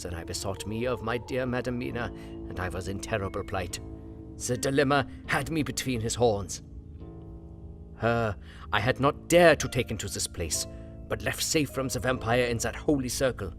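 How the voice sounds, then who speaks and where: An actor playing an earnest, God-fearing aging vampire hunter, narrating his diary solemnly in halting old-fashioned English. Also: medium pitch, lightly acted. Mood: grave, resolute. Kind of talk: dramatic diary narration in an audio drama. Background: guitar music.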